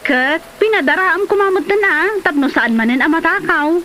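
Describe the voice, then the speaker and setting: A woman acting as a nosy female neighbor with an Ilocano accent. Her voice is high and very lively, teasing and exclamatory, as in a comedy drama. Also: moderate pace, slight background noise, full character voice.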